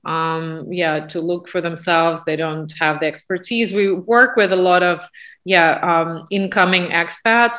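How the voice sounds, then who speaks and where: Russian-accented woman, bright and hesitant. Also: fairly low pitch, highs cut off. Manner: hesitant, many fillers. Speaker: guest, business owner. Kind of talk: interview answers.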